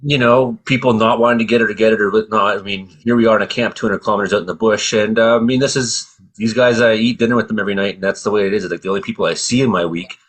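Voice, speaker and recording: A man's voice: on the low side, steady tone, fast, few pauses, a bit bright, husky. A man hosting a casual radio discussion, opinionated and folksy, speaking colloquially. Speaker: radio show host.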